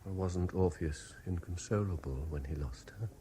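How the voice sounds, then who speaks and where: A man's voice: low voice, unhurried, dark tone, slight background noise. An actor with a British accent playing an earnest, troubled man in love, hesitant and probing, in a 1970s radio drama. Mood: tender. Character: earnest, lovestruck, troubled Frenchman, inquisitive and hesitant.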